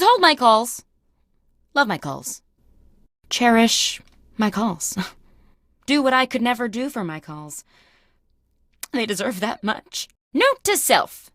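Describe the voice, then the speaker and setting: Casting: comic game boss, self-important and oblivious, highish voice, wildly animated phone manner, dry close recording. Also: female voice, no room sound. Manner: bossy, exaggerated, theatrical delivery. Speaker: video game character host. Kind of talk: comic scripted phone monologues.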